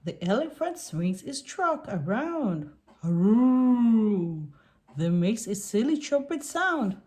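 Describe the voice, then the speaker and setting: A woman reading a picture book aloud to young children in an expressive way, putting on animal sounds. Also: fairly high pitch, takes it slowly.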